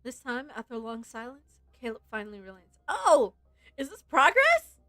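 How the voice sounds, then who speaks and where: A woman's voice: fairly high pitch, wildly swooping pitch, moderate pace, a bit bright, dry recording, studio quality. A woman livestreaming a game, chatting casually and reading its story aloud in a playful way. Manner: playful, giggly fan commentary. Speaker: game streamer. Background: game music.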